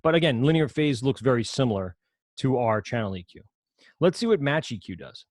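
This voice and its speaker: Low-voiced man teaching audio software. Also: lively intonation, a little fast, no room sound, studio quality. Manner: casual, rambling, self-correcting explanations. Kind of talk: screen-share software lesson.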